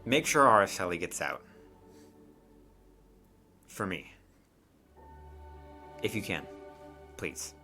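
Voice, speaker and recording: A man acts out a serious mission leader in a tabletop game, gentle and troubled. The voice is low and clear-edged, with halting, earnest phrasing over faint music. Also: very animated, full character voice. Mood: quiet, pleading.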